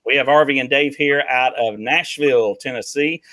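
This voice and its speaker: An upbeat man hosts a live talk show, chatting casually with guests and viewers. His voice is bright and forward, recorded dry and close with no room sound around it. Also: medium pitch, moderate pace, no room sound. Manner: upbeat, joking, with many fillers. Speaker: host.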